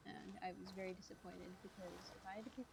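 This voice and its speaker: A woman's voice: mid-range voice, little pitch movement, fast talker, gravelly, background noise. A young adult woman giving casual, rambling commentary as she streams a game. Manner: chatty, rambling.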